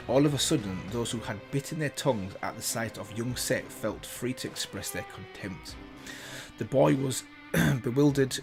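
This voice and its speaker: A man reading a text aloud as a reaction video host, breaking off for casual comments of his own. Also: lowish voice. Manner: casual asides while reading. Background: loud background music.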